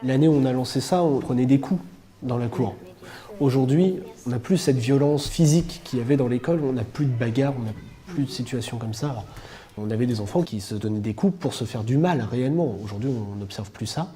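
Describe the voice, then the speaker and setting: A man with a very dark, soft-edged voice speaks quickly at a middling pitch. He sounds like a school educator explaining his work in an interview. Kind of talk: interview testimonial.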